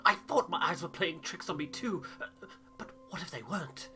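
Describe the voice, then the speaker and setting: A man voicing an excitable, panicky crewman in a game, stammering and exclaiming breathlessly. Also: fairly high pitch, normal speed, faint music behind, voice pushed up. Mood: excited. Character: excitable, panicky male crewman convinced he saw a monster.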